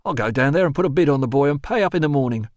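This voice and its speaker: A male narrator voicing a gruff, blunt and determined kitchen worker in an audiobook story. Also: on the high side, lively intonation, rapid-fire, no room sound, clean studio sound, voice pushed up, close to an everyday voice. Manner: blunt, forceful.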